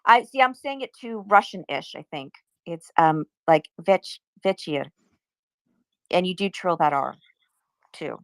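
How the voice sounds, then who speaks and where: Chatty woman guest with a low, smooth voice; dry close sound on a call. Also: animated, measured pace, long pauses, dry recording, poor audio. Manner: chatty, laughs easily, trails off with fillers. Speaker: guest speaker. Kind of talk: casual chat on a live audio space.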